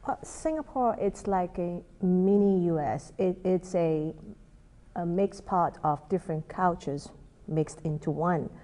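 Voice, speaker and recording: A middle-aged woman, a college instructor, answers interview questions in a thoughtful way, sometimes restarting her sentences. Her voice sits a little low and sounds mellow. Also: measured pace.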